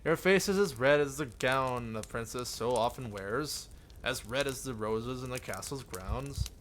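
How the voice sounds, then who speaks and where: A man's voice: medium pitch, a little slow, fairly bright tone, slight background noise. A man reading story text aloud live, with amused casual asides. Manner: amused, breaks into chuckles and side remarks. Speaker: streamer reading a visual novel aloud.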